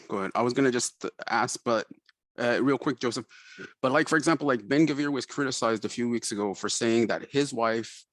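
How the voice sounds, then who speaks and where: A man's voice: medium pitch, dry recording. A man from East Jerusalem joining a discussion, speaking conversationally with a hesitant start, over a video call. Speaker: discussion participant. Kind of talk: casual discussion.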